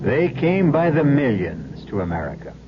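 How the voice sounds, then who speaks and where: A man narrating an old radio drama as host, in a measured storytelling manner. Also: mid-range voice, sing-song, over music. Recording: dull vintage radio recording.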